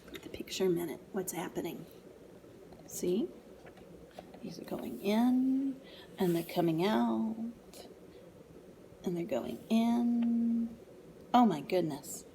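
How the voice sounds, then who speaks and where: Animated woman reading aloud to children, lively pitch. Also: slow pace, long pauses, a little hiss or hum. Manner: animated, sing-song, child-directed. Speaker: storyteller reading a picture book to young children. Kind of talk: expressive read-aloud with asides and questions to children.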